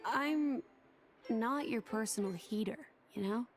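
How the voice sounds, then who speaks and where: A polite, reserved young woman from a video game. She speaks slowly in a fairly high voice, slightly put-upon, with faint music behind. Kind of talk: video game cutscene acting.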